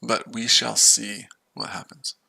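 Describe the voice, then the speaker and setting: A man's voice: lowish voice, level tone, a little slow, vocal fry, bright, forceful tone, husky. An American man casually chatting on a livestream while trading, rambling and talking to his viewers. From United States. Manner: rambling, repeats himself, chats with viewers. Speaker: livestream trader.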